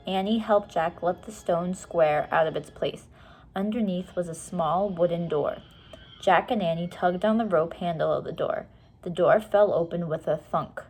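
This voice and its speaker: A woman's voice: on the low side, moderate pace, darkish tone, slightly rough, faint music behind. A woman reading a children's storybook aloud expressively, explaining things simply and casually to young listeners.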